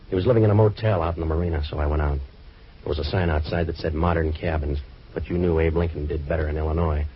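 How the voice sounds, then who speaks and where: A muffled, hissy old radio drama recording carries a hard-boiled American detective, played by a male actor. He is sardonic and clipped, talking low and fast in deadpan wisecracks. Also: lightly acted. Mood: wry, matter-of-fact. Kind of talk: 1940s radio detective acting and narration.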